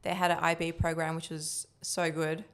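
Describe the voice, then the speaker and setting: A young woman with Turkish as her first language answers interview questions in a relaxed, chatty way. Her voice sits fairly low for a woman and sounds smooth and clear. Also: normal speed. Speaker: guest, building cost estimator. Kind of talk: casual podcast interview.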